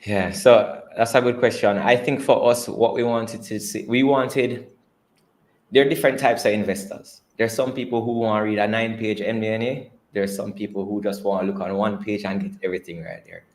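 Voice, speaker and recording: A man's voice: on the low side, fairly even intonation, a little fast. A man with a Jamaican accent speaking as a company executive on an earnings call, conversational, often repeating and rephrasing his words. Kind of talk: earnings call presentation and answers.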